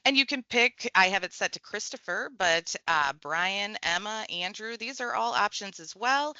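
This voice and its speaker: A woman with a bright, forceful voice talks a viewer through a software tutorial one click at a time. Her delivery is casual and conversational. The recording is dry and a little dull. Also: medium pitch, animated, normal speed, clean tone, dry recording. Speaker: tech trainer. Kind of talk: screen-share software tutorial.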